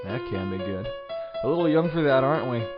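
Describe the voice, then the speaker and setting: A man's voice: mid-range voice, expressive, brisk, close to an everyday voice. An actor playing a smug, scheming president in an audio drama, theatrical, condescending and mock-friendly as he poses as the heroic saviour. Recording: dull sound under loud music.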